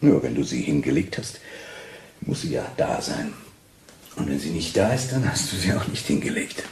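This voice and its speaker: An actor playing a cold, domineering husband in a radio play, stern, reproachful and condescending, swinging from calm to harsh. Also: male voice, very deep voice, normal speed, gravelly, slight background noise, exaggerated voice. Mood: coolly probing. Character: cold, domineering husband tormenting his fragile wife. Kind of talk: German radio play acting.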